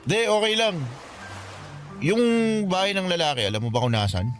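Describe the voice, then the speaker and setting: Makoy, a young man who speaks plainly and hesitates over hard things. His voice sits slightly high, with faint music under the scene. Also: big pitch swings, normal speed, a bit bright. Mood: guarded. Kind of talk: radio drama acting. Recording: music bed under dialogue.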